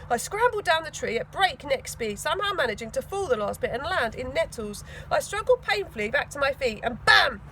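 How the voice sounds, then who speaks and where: A woman reads a children's story in a high voice with lively, swooping pitch. She leans dramatically into key words, and there is clear noise behind her. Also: low-quality recording. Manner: animated, dramatic emphasis. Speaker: school teaching assistant reading a children's book. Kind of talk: expressive storybook narration.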